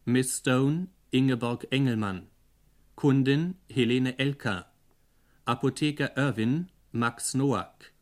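A man giving a formal, measured scripted announcement as a radio announcer reading credits. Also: medium pitch.

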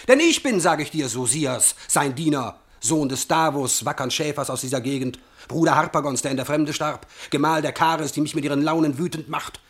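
An actor playing an insolent, bullying impostor servant in a classical verse radio comedy, declaiming imperiously and emphatically. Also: male voice, fairly high pitch, a bit bright.

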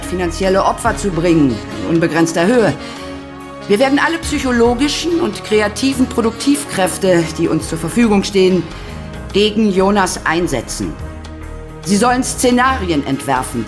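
Woman doing an imperious villain boss, lowish, very animated, formal speechifying, radio drama. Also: moderate pace, lightly acted. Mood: vengeful, resolute. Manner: commanding, formal, oratorical. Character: vengeful, imperious female committee chair in a wheelchair. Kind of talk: radio play acting. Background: music.

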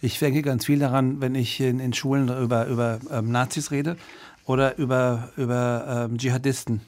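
A man from Iran in his forties, a member of parliament answering in a radio interview. He talks in an animated way, full of restarts and fillers. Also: medium pitch, normal speed.

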